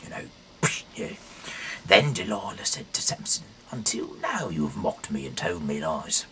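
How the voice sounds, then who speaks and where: Really low deep guy reading bible out loud, stumbles and rambles, bit of noise behind him. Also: sing-song, normal speed. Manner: stumbling, rambling, often correcting himself. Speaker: amateur reader of a Bible audio series. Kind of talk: halting read-aloud of scripture with off-the-cuff asides.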